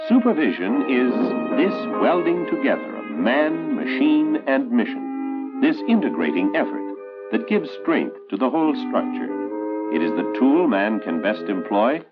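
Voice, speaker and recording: An American man narrating a 1960s instructional film in an authoritative, emphatic, measured oratorical way. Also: medium pitch, sing-song. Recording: tinny band-limited 1960s film soundtrack, loud music. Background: loud musical score.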